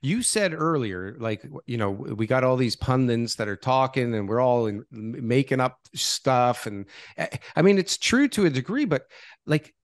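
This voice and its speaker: A man's voice: medium pitch, animated, moderate pace, no echo, clean studio sound. A man in his forties co-hosting a casual podcast, blunt and opinionated, speaking into a desk microphone. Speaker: podcast co-host.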